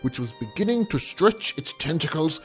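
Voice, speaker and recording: A man reading a scripted literary tale as a podcast storyteller, with a measured, formal delivery. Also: on the high side, very animated, soft background music.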